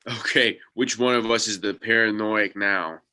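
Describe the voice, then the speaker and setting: A man's voice: lowish voice, fairly even intonation, moderate pace, bright, forceful tone, clean tone. A man playing a skeptical, practical young sidekick in a script read, with a wry, casual way of talking. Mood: puzzled, wry. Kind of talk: table read of a TV pilot script.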